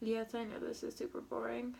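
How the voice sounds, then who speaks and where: A young woman talking through her makeup routine in a slow, rambling way. She is sniffly from a cold. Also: a little high, completely flat delivery, vocal fry, a little mellow, a little hiss or hum. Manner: slow, rambling, filler-heavy commentary while applying makeup. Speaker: beauty vlogger. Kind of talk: makeup tutorial chat.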